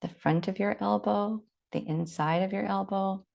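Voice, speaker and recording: A woman leading a guided body scan meditation, giving gentle, unhurried instructions with long pauses. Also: mid-range voice, fairly even intonation, gravelly.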